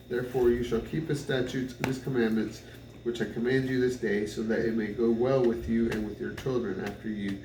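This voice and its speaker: A man reading the Bible aloud in measured phrases, occasionally stumbling over words. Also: mid-range voice, darkish tone. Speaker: scripture reader. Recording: roomy, distant mic, noisy background.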